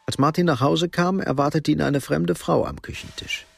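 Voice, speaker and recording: A man narrating a radio crime drama in a measured, matter-of-fact way. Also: fairly high pitch, fairly even intonation, creaky, music in the background. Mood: sober. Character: sober third-person narrator of a crime story.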